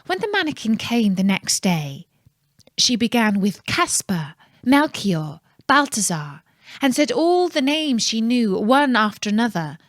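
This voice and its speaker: A woman narrating a fairytale for children in a calm, measured, scripted storytelling style. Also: fairly high pitch, no room sound, studio quality.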